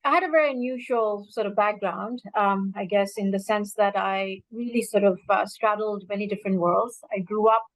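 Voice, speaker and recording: A woman's voice: mid-range voice, fairly even intonation, normal speed, hardly any pauses, no echo, poor audio. A woman in her fifties from Bangladesh, speaking earnestly and reflectively to an audience, with many fillers. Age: 50s. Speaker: alumna speaker. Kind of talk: personal talk to an audience.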